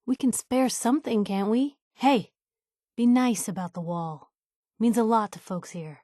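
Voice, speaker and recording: Game companion: young female reporter, higher-voiced, principled and sassy, old-fashioned slangy banter, very dry close recording. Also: measured pace, warm tone, no room sound. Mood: indignant. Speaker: video game voice actress. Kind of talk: video game companion voice lines.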